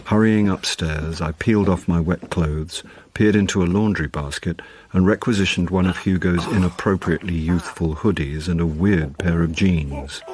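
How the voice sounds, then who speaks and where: A self-deprecating, middle-aged British man in a radio play. His voice sits low and is a little rough at the edges. He comes across as hapless, wry and easily flustered. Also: normal speed, few pauses, darkish tone. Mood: wry narrating. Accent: British English. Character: hapless, wry middle-aged male actor caught up in murder. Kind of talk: naturalistic radio drama acting with confiding narration.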